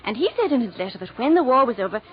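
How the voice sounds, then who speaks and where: A woman's voice: a little high, big pitch swings, racing. An actress playing a sweet, earnest young woman in a breathless, emotional 1940s radio drama style. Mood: wistful, earnest. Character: sweet, earnest young English war bride, amnesiac. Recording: dull 1940s radio recording, audience noise.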